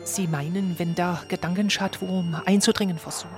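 Actress as earnest, delusional low-voiced housewife. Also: female voice, fairly even intonation, moderate pace, over music, close to an everyday voice. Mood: earnest, intense. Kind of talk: documentary radio play interview.

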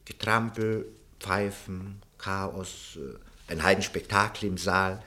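A man's voice: sits low, steady tone, slow, bright, forceful tone, full character voice. An actor playing a servile, ambitious, scheming male minister in a German radio play, with an obsequious, theatrical way of talking. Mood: sly, scheming.

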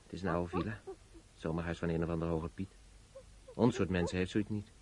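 An actor playing a gruff, unimpressed working man with a dog in a radio play, dry and terse. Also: low-pitched, steady tone, fairly quick, crowd noise, lightly acted. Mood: dry, calm.

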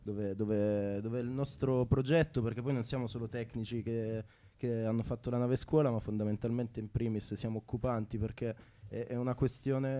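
A man's voice: medium pitch, mellow. A man chatting casually on the radio in a playful, mock-formal manner. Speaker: theatre technician and occupier, interviewing a colleague. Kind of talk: casual unscripted radio chat.

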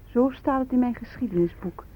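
Earnest young man, high voice, solemn, melancholy, radio drama, muffled sound. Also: a little fast. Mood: bitter. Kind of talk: Dutch radio play acting. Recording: dull, lo-fi radio recording.

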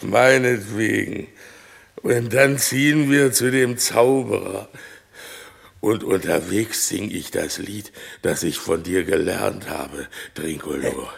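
An actor playing a crude, eager, servile male monster in a classic radio play, speaking gravelly and drawn out in a fawning way. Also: lowish voice, slow pace, exaggerated voice.

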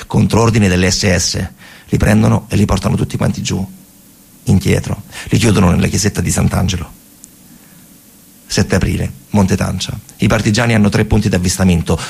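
A man narrating a radio theatre monologue dramatically, in colloquial, short phrases with repetitions and a husky voice. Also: lowish voice, a little mellow. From Italy. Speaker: storyteller actor.

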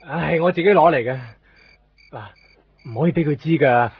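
A man's voice: fairly high pitch, moderate pace, slightly rough, dry recording. An actor playing an anxious, evasive young man in a Cantonese radio drama from Hong Kong, exasperated and emphatic, repeating himself. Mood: worried. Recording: muffled 1990s radio drama over music.

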